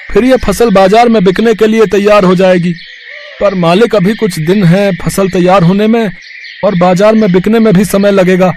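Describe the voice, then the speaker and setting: A man's voice: high voice, a little mellow, a little hiss or hum, lightly acted. A man playing a poor, humble village farmer in a moral story, speaking earnestly. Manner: earnest, deferential pleading. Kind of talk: dramatised moral story acting.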